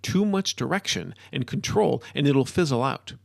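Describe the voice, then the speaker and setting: A man playing an excitable modern-day wizard in a storybook, ranting and lecturing in an emphatic, informal way. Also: medium pitch, normal speed, creaky, no room sound, clean studio sound. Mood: explaining. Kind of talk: storybook character dialogue.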